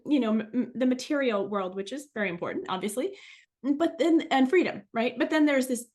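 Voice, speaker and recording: A woman's voice: sits high, fairly quick, a little mellow. A middle-aged woman from France, a native French speaker, giving an informal, reflective talk as a guest researcher.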